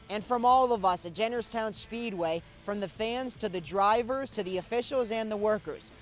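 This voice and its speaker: A young American man reporting and interviewing in a presentational, announcer-like broadcast style. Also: high voice. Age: young adult. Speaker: student sports and news reporter, interviewer.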